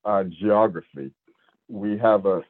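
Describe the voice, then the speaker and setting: A man in his sixties with a Jamaican accent, a state division director speaking earnestly and deliberately in a radio interview. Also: fairly low pitch, dry recording. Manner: earnest, measured, with restarts. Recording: dry, phone-like radio line.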